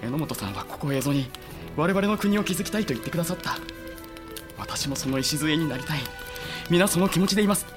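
A man's voice: on the high side, lively intonation, brisk, lightly acted. Eager young samurai officer played by a man, music behind. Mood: earnest. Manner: polite, eager, deferential. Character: earnest, admiring young samurai officer, loyal and idealistic. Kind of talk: Japanese period audio drama acting.

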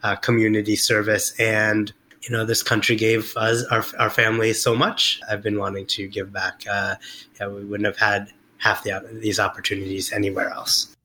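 Middle-aged man, interview guest, lowish bright voice, halting and full of fillers. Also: little pitch movement, a little hiss or hum, low-quality recording. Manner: hesitant, many fillers and restarts. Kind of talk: reflective interview talk.

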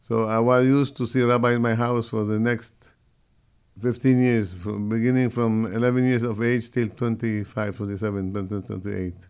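An older man with a heavy Brazilian accent, answering interview questions in halting, direct English with frequent restarts. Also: medium pitch, little pitch movement, a little mellow, clean tone. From Syria. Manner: halting, restarts mid-phrase, plain direct phrasing. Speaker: guest.

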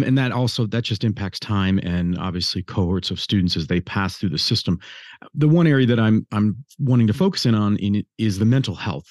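A man's voice: on the low side, darkish tone, dry recording, clean studio sound. An older man hosting an interview, asking long, meandering questions full of fillers. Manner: rambling, thinking aloud with many fillers. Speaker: host interviewer.

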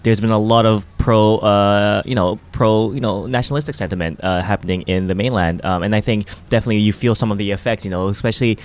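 Podcast guest, young man from Hong Kong, Japanese first language, low-pitched and quick, filler-heavy casual talk. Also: fairly even intonation. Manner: fast, rambling, many "you know" fillers. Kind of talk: casual chat.

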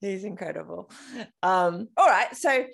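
A woman's voice: medium pitch, animated, measured pace, creaky. An Australian woman hosting a podcast, chatting casually and informally as an interviewer. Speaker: podcast host.

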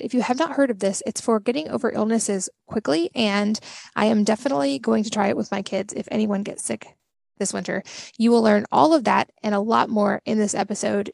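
A woman's voice: medium pitch, vocal fry, a little mellow, dry recording. A woman hosting a podcast, introducing a guest and asking questions in an upbeat, enthusiastic way. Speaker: podcast host.

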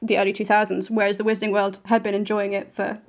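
A woman's voice: mid-range voice, little pitch movement, quick pace. A young woman guest on a podcast, chatting casually with dry, wry asides. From Belgium. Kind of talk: casual chat.

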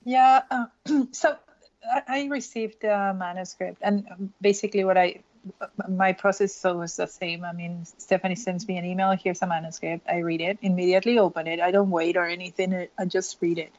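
A woman's voice: lowish voice, steady tone, normal speed, darkish tone, highs cut off. A woman from Peru, an illustrator speaking earnestly in an interview and often restarting her phrases. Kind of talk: casual interview.

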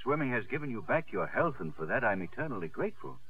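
Tender worried father, animated mid-range voice, halting, old muffled radio broadcast. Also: mid-range voice, husky. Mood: troubled, firm. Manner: halting, repeats words emphatically. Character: anxious, tender, proud middle-aged father. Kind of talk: 1950s radio drama acting.

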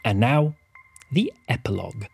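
A man's voice: medium pitch, very animated, normal speed, creaky, a little mellow, slightly rough, faint music behind. A man reading scripted podcast announcements and credits as the show's announcer.

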